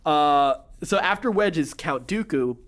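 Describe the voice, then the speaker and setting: A man with a somewhat high-pitched voice co-hosts a pop culture podcast. He moves between reading out news items and chatting casually about them. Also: lively intonation, unhurried, a bit bright. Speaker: podcast co-host.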